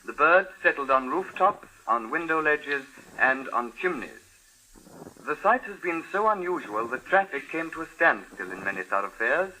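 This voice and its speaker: Sharp, bright formal radio announcer. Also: male voice, a little high, sing-song, moderate pace, exaggerated voice, poor audio. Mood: grave. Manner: formal, clipped, official delivery. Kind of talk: 1950s radio news bulletin acting. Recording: thin old radio recording, faint noise.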